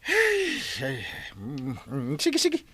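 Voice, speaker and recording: A man's voice: fairly high pitch, wildly swooping pitch, extremely slow, sharp tone, husky, heavily acted. A man playing a grumpy, flustered village captain in an Ilocano radio comedy drama, exclaiming, stammering and grumbling. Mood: exasperated.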